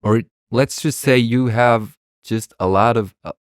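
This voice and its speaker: A man's voice: medium pitch, measured pace, no echo, clean studio sound. A man from Germany, a native German speaker, talking casually and earnestly as a podcast guest.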